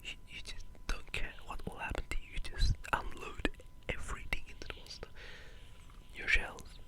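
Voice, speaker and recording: Whispering ASMR gamer, breathy and rambling. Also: moderate pace. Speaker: gaming ASMR video creator. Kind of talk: whispered ASMR casual game commentary.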